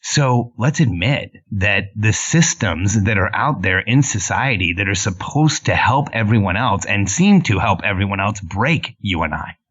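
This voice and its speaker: A man's voice: fairly low pitch, expressive, unhurried, few pauses, fairly bright tone, breathy edge. A man in his forties who grew up in Mexico and Southern California, telling his story earnestly as a podcast guest. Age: 40s. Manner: earnest, with frequent restarts. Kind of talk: casual interview storytelling. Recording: muffled lo-fi line.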